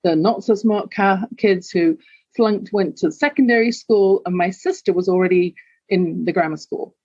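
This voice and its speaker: A woman's voice: medium pitch, moderate pace, warm tone, dry recording, lo-fi sound. An older woman with a London accent, a podcast guest telling her life story in an earnest, reflective way. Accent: London English. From England. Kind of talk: candid personal storytelling.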